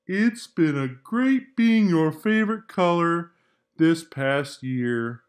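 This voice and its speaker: A stubby, tired crayon from a picture book, read by a man. It talks slowly and wearily, fond but worn out. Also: a little high, lively intonation. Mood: weary.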